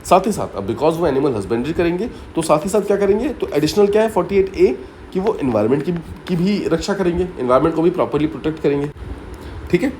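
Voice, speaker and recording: Mellow-voiced man teaching a lesson. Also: fairly high pitch, expressive, quick pace, a little hiss or hum. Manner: explanatory, repeats key points with fillers and English asides. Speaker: exam coaching teacher. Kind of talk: story-based mnemonic lesson.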